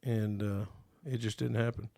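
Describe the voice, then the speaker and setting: A man chats on a podcast in a gravelly, slightly hoarse voice. He teases and jokes and reads aloud in a drawn-out way. The recording is dry and close. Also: fairly low pitch, steady tone, warm tone, dry recording. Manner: playful, teasing, drawn-out reading aloud. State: slightly hoarse from being sick. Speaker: podcast co-host. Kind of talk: casual chat.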